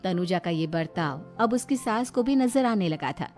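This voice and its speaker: A woman's voice: lowish voice, animated, normal speed, darkish tone, faint music behind. A woman storyteller reading a scripted moral tale as narration.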